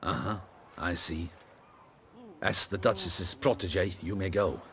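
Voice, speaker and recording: An actor playing a stern, condescending city guard officer in a fantasy video game, deliberate and authoritative. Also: male voice, low voice, a bit bright, a little hiss or hum. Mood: stern, knowing. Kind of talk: video game cutscene acting.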